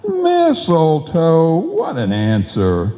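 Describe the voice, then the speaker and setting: An actor playing a blustering, sarcastic husband with emphatic, wisecracking delivery in an old-time radio comedy. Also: male voice, on the high side, wildly swooping pitch, drawn-out words, mellow, exaggerated voice. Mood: grumpy, scornful. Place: live stage performance in a hall. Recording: muffled live recording in echoey hall.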